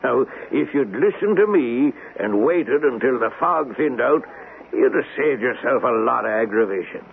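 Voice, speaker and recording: An actor playing a folksy, fatherly police sergeant in a 1970s radio drama, with a halting, mildly chiding manner. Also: medium pitch, animated, vocal fry. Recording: noisy, tinny old radio broadcast recording.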